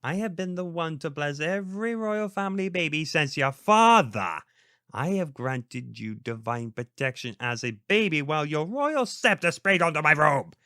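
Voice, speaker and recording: A man's voice: a little high, very animated, moderate pace, pushed and bright, clean tone, no room sound, studio quality. A man playing a pompous, indignant elderly priest in a theatrical audio roleplay, sardonic and histrionic. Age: older.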